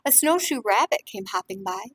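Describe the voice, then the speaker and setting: A woman reading a picture book aloud as a teacher of young children, pausing for simple explanations and questions to the listeners. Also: mid-range voice, expressive, a bit bright, slightly rough, dry recording.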